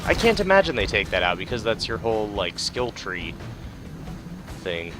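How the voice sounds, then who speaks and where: A man with a low voice gives casual let's play commentary. He is laid-back and thinks aloud, with game music clearly behind him. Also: very animated, moderate pace.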